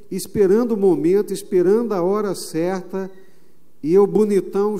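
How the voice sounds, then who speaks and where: Man, Brazilian pastor, sermon, mellow tone, echoing sanctuary. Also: high-pitched. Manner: emphatic preaching with slow, drawn-out phrases. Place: church sanctuary. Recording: long reverb of a church hall.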